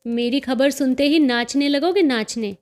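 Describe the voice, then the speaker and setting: A woman's voice: high voice, normal speed, darkish tone, natural voice. A woman voicing a playful, teasing young sister-in-law in a storybook reading.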